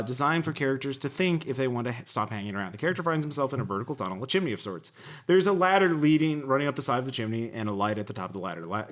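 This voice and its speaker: A man's voice: mid-range voice, a bit bright. A man hosting a casual tabletop gaming podcast, sometimes reading rulebook passages aloud and sometimes talking conversationally. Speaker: podcast host.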